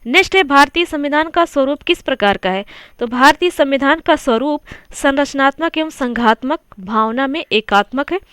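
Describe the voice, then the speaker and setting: A woman's voice: sits high, expressive, moderate pace, fairly bright tone, no room sound, studio quality. A woman teaching an exam coaching lesson, going through quiz answers in a conversational way and asking the listener questions. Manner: conversational, repeats points and asks the listener questions.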